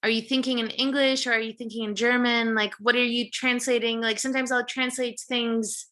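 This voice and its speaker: Bright, high-voiced woman guest. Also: flat delivery, brisk. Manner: reflective, thinks aloud with fillers and restarts. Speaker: guest, vegan restaurant founder and chef. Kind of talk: casual conversational interview.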